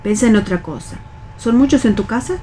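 An actress playing a resigned, bossy veteran factory worker in a radio play, with an Argentine accent and a colloquial, admonishing way of talking. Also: female voice, a little high, a little fast, mellow, a little hiss or hum, close to an everyday voice. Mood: bossy, soothing. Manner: colloquial, admonishing, world-weary. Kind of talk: radio read theatre.

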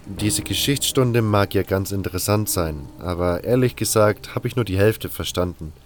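A man's voice: fairly low pitch, moderate pace, soft background music, natural voice. A man playing a curt, skeptical convict adventurer with a blunt, dry, impatient manner in a fantasy audio drama. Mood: reluctant.

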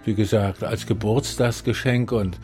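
An actor playing a hesitant, good-natured older man from Hamburg on a date, halting and self-deprecating, in a naturalistic radio drama. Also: on the low side, steady tone, over music, lightly acted. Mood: hesitant, easygoing. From Hamburg, Germany.

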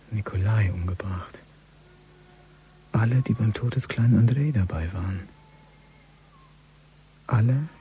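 An actor playing a cold, calculating gangster in a radio crime drama, brooding in a hushed inner monologue. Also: male voice, fairly low pitch, a little hiss or hum. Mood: uneasy brooding. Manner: slow, brooding, half-whispered inner monologue. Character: cold, calculating young male gangster thinking aloud.

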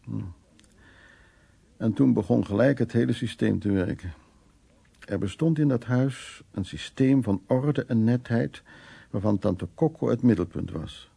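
A man's voice: mid-range voice, a little slow, creaky, warm tone, breathy edge. An actor playing a troubled, wry and anxious man in a radio drama, musing aloud in hesitant, broken phrases. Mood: brooding recollection. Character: troubled man named Louis, recounting past, anxious, wry. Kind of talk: radio drama acting, intimate storytelling.

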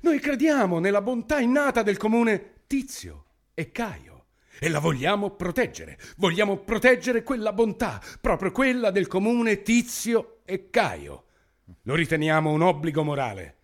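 An actor playing a pompous, menacing authoritarian government minister in a satirical radio play, declaiming grandly with smug brutality. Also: male voice, high-pitched, very animated, a little slow, heavily acted. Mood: grandiose, fervent.

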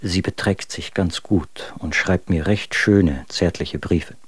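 An actor playing a bitter, world-weary husband, reading his letters in a measured, literary way for a radio drama. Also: sits low, breathy edge, no room sound, exaggerated voice. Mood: wry, resigned.

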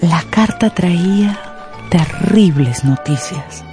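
Lady narrator for a radio drama, warm mellow tone, very animated pitch, pauses for suspense, light music behind.

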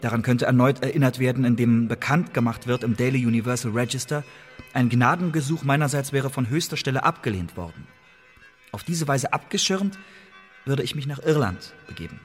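A male actor plays an imprisoned English secret agent and writer in a radio drama. He is mid-pitched, persuasive and loyal, choosing his formal words with deliberate care. Music runs behind the voice. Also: lightly acted. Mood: earnest, persuasive. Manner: measured, formal, deliberate phrasing. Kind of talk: German radio play acting.

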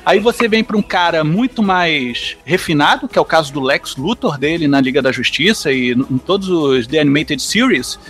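A man with a Brazilian accent and a distinctly high voice talks casually on a podcast. He sounds enthusiastic and tends to ramble, looping into side remarks as he goes. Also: expressive, moderate pace, few pauses, a bit bright, soft background music.

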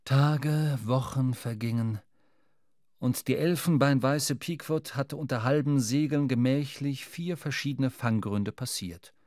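Male actor as seafaring narrator, mid-pitched, reflective, measured literary storytelling, radio drama, dry studio sound. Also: a little mellow, no room sound, lightly acted. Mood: reflective, wondering. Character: young sailor narrator, reflective whaling adventurer.

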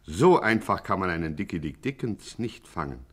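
An actor as the wry, theatrical storyteller of a scripted radio crime satire. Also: male voice, sits low, big pitch swings, moderate pace, fairly bright tone, exaggerated voice. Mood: wry, knowing. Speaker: narrator. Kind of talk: scripted radio play narration, 1950s.

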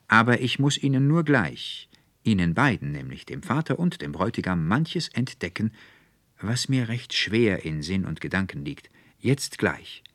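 A man's voice: lowish voice, fairly bright tone, no room sound, voice pushed up, exaggerated voice. An earnest, effusive young woman acted out by a male reader in a literary audiobook. The speech is emphatic, resolute and formally old-fashioned. The recording is very dry and close. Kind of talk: literary audiobook character dialogue.

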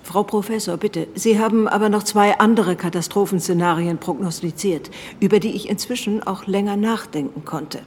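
A woman's voice: mid-range voice, normal speed, darkish tone, a little hiss or hum, lightly acted. A woman playing an authoritative prime minister chairing a meeting in a controlled, businesslike way in a radio drama. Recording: long hall reverb.

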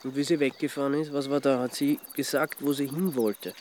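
A man's voice: mid-range voice, fairly even intonation, fairly quick, darkish tone, slight background noise, close to an everyday voice. An actor as a dogged male Austrian amateur sleuth. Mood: probing. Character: Suchanek, dogged amateur sleuth, adult man, wry and urgent. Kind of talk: naturalistic radio drama acting.

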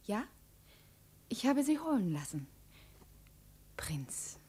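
An actress playing a proud princess, imperious yet wounded, in a theatrical 1950s radio drama style. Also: female voice, medium pitch, sing-song, takes it slowly, dark tone, slightly rough, full character voice. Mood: guarded, hesitant. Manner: theatrical, formal, emotionally heightened.

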